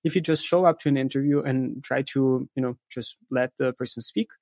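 A man's voice: mid-range voice, a little fast, a little mellow, dry recording. Man explaining thoughtfully, dry close sound. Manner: often tags statements with a questioning "right?". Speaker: interview guest, content agency specialist.

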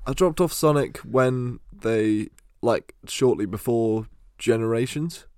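A man in his twenties chatting casually as a podcast co-host, with an excitable, enthusiastic manner. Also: mid-range voice, takes it slowly, smooth, no room sound, studio quality. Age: 20s. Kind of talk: casual chat.

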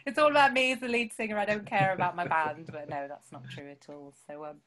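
A woman's voice: lowish voice, steady tone, quick pace, crowd noise. A woman, chatting casually about writing her songs, with lots of fillers and self-deprecating humor. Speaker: songwriter guest. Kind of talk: casual chat. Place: home.

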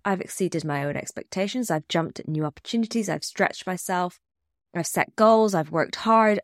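A woman's voice: fairly low pitch, dry recording, studio quality. A woman with a cold, sounding a bit snuffly, hosting her own podcast and reflecting candidly in a scripted solo talk. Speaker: podcast host.

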